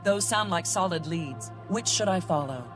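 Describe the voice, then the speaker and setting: An actor playing an earnest, loyal hero commander in a video game, speaking directly and practically. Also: male voice, high-pitched, lively intonation, moderate pace. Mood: focused, encouraging. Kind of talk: video game cutscene acting. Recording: voice over loud game music.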